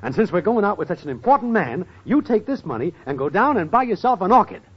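An actor playing a scheming, jobless city hustler in an old radio comedy, with a slangy, wisecracking delivery. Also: male voice, sits high, sing-song, quick pace. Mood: brisk. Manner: slangy, wisecracking wise guy. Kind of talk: 1940s-50s radio comedy acting. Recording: muffled, noisy old radio recording.

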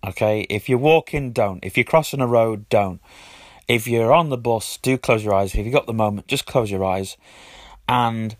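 A man hosting his own audio blog, his voice husky from a cold, chatting and rambling with lots of ums. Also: lowish voice, moderate pace, no room sound, studio quality. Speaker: podcast host. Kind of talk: casual solo audio blog.

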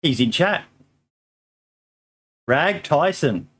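Hoarse, easygoing man hosting a podcast. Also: a little high, lively intonation, very slow, a bit bright. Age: middle-aged. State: slightly hoarse. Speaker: podcast host. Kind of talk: casual podcast chat.

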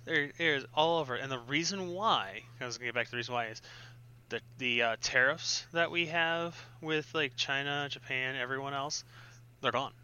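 A man's voice: mid-range voice, normal speed, sharp tone, clear, resonant tone, lo-fi sound. A man co-hosting a casual podcast, earnestly explaining tech topics as a self-described audiophile. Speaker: podcast co-host.

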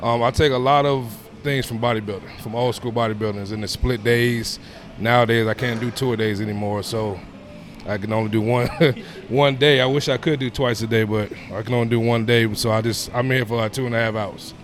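A man's voice: medium pitch, fairly quick. A man in his thirties talking casually as a personal trainer being interviewed, informal, often dropping in "man" and "you know". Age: 30s. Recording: clear steady background noise.

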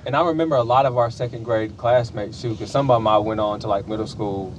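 A man's voice: fairly low pitch, steady tone, quick pace, noisy background. A man in his late twenties hosting a podcast in casual conversation. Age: late 20s. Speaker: podcast host. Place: podcast studio.

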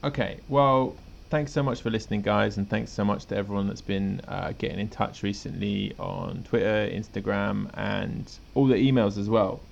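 A man co-hosts a podcast in loose, rambling chat, chuckling as he goes. His voice sits low with a gravelly edge. The recording sounds a little dull, with a faint hiss underneath. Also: fairly even intonation, normal speed, few pauses. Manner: laid-back, rambling, chuckles often. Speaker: podcast co-host. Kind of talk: casual chat.

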